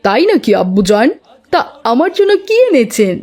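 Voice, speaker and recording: A woman's voice: fairly high pitch, very animated, moderate pace, a little mellow. A woman voicing a polite, modest young boy, the son of a family, in a cartoon. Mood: polite, curious. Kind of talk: cartoon dialogue.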